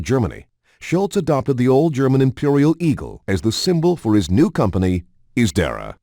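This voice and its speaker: A man's voice: mid-range voice, dark tone, no room sound, clean studio sound. A man reading scripted promotional documentary narration in a polished, emphatic announcer delivery.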